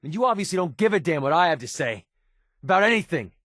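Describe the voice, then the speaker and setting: Guarded, plain-spoken mercenary, close and dry. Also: male voice, fairly high pitch, sing-song, fast, fairly bright tone, no echo. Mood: exasperated, confrontational. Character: guarded, wounded young male mercenary, sarcastic, quick-tempered but loyal. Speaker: companion character in a video game. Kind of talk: naturalistic video game voice acting.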